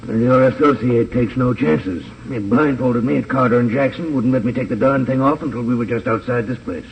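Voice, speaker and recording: An actor playing a cautious, businesslike American drug wholesaler with a hesitant manner in a 1950s radio drama. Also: male voice, mid-range voice, fairly quick, vocal fry, natural voice. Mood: irritated. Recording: distant, dull, noisy old radio transcription.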